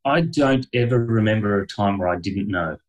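Middle-aged guy with a real low voice, rambling and candid, lots of you-knows. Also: normal speed. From Australia. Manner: frank, meandering, with frequent fillers and short laughs. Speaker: podcast guest. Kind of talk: candid conversational storytelling.

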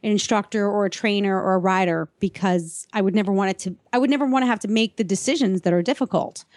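A woman's voice: mid-range voice, quick pace, a little mellow, no room sound, studio quality. Woman podcast co-host, practical talker. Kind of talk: casual podcast conversation.

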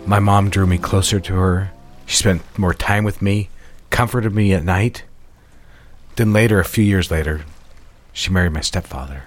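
A very low-pitched man in love , played by a male actor, speaks earnestly and intimately as if confiding in his partner. Faint music sits behind this audio drama dialogue. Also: normal speed, close to an everyday voice. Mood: tender, confiding. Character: soft-spoken, devoted man in love, confiding his past.

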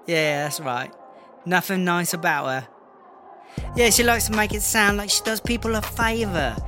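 Comedy audio drama: male actor as cocky English woman inmate, slangy blusterer, high bright voice over music. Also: normal speed. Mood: scornful, bossy. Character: brash, foul-mouthed English female prison inmate and rapper. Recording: music under the voice.

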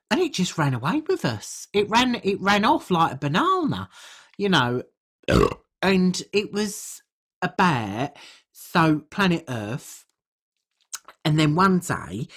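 Low, husky British woman, lively. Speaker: podcast co-host. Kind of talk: casual chat.

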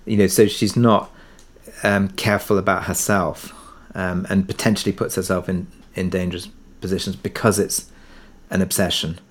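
Male interview guest, fairly low voice, reflective, feeling his way through each answer. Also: little pitch movement, moderate pace.

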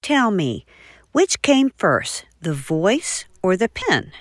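A woman's voice: mid-range voice, sing-song, slow, no room sound, studio quality. A woman speaking as a guest author, telling history with enthusiasm.

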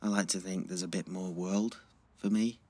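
A young man playing a gentle, thoughtful teenage boy, speaking hesitantly in a naturalistic audio drama. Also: low-pitched, fairly even intonation, moderate pace.

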